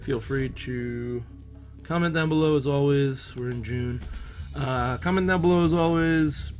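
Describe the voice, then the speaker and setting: Hoarse male gaming host, musing aloud, relaxed play-along commentary. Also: medium pitch, unhurried, faint music behind. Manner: thinking aloud, musing while playing. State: losing his voice. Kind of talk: casual let's-play commentary.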